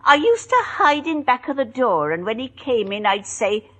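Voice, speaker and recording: A woman's voice: fairly high pitch, lively intonation, normal speed, a bit bright. A woman acting a feisty, droll housewife telling tales matter-of-factly in a 1940s radio sitcom. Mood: gleeful, droll. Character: feisty housewife with violent, cheerful glee.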